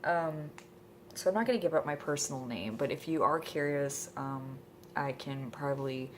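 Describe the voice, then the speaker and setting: Low-voiced congested woman rambling. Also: moderate pace, slight background noise. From Michigan. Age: 20s. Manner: rambling, hesitant, full of ums. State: congested from a cold. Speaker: vlogger. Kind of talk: casual introduction vlog. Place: indoors at home.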